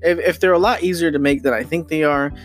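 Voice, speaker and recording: A young man hosting his own podcast, talking alone in a rambling, excited way with many fillers and restarts. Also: on the high side, fast talker, faint music behind. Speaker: podcast host. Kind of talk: solo casual podcast monologue.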